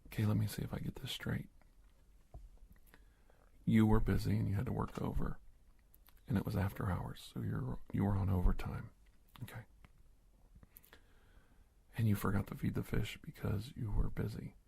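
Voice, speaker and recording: A man playing a polite, nervous middle-aged investigator in an ASMR roleplay, soft-spoken and halting, often slipping into a whisper. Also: level tone, full character voice. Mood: curious, attentive. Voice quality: husky, breathy, gravelly low voice. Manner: halting, hesitant, courteous, deliberate phrasing.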